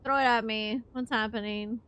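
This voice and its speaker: A woman streamer reacting casually and playfully to a game, excitable and joking with her chat. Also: on the high side, normal speed, smooth. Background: game music.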